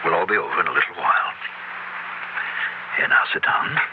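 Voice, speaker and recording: Actor as a cold, low-voiced occultist. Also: male voice, brisk, lightly acted. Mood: cold, controlled. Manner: curt, solemn, incantatory. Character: cold, commanding male occultist performing dark ritual. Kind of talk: 1970s radio horror drama acting. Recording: noisy, muffled 1970s radio recording.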